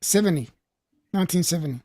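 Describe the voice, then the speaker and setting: An Australian man in his fifties hosting a casual group chat, blunt, sweary and quick to mock himself. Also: high voice, lively intonation, a little mellow, dry recording. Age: 50s. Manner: blunt, sweary, self-mocking. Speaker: host.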